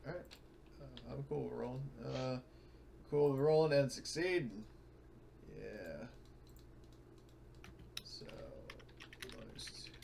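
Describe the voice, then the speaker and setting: A man's voice: medium pitch, slow pace, gravelly, pauses often, warm tone, slight background noise. A man chatting casually at a tabletop roleplaying game, explaining rules to the others.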